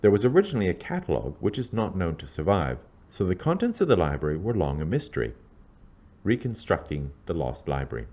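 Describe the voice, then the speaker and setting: A man with a low, deep voice reads a prepared script as narrator. His delivery is measured and scholarly, like a documentary, with lively rises and falls that mark out each phrase. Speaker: narrator reading an introduction. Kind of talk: scripted narration, read aloud.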